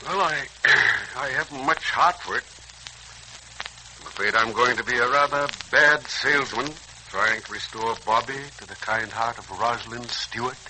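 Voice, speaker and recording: An older American actor playing a kindly, modest, philosophical uncle in a 1940s radio serial, folksy and earnest. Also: male voice, mid-range voice, normal speed, bright, forceful tone. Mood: reluctant, thoughtful. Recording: noisy, scratchy 1940s radio recording.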